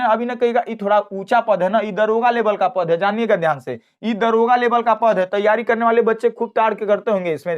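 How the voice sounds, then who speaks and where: A teacher explains informally and emphatically, repeating phrases and checking that listeners follow. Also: medium pitch. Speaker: math teacher at a coaching class. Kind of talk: informal exam cut-off explainer.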